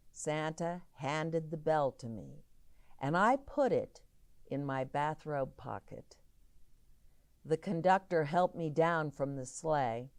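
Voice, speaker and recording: A woman with a low voice reads a children's storybook aloud at a very slow, deliberate pace. Her delivery is expressive and lively in pitch, with dramatic pauses that set single words apart. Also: clean tone, no echo.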